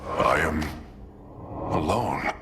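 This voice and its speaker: An actor playing a sorrowful, humble alien engineer in a video game, speaking formally and earnestly. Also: male voice, rumbling low, animated, very slow, heavily acted. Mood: lonely, sorrowful. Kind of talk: dramatic video game acting. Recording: music behind.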